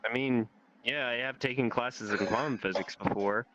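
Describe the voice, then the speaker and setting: A man's voice: mid-range voice, moderate pace, dull audio, background noise. A teenage young man in a casual, rambling chat, swearing offhandedly and leaning on "like". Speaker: guest telling life experiences. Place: online voice chat in a VR social game.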